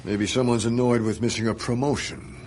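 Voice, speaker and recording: An actor playing a shrewd senior male spymaster in a radio drama, brisk, probing and knowing. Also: mid-range voice, fairly even intonation, a little mellow, slight background noise, close to an everyday voice. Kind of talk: naturalistic radio drama dialogue.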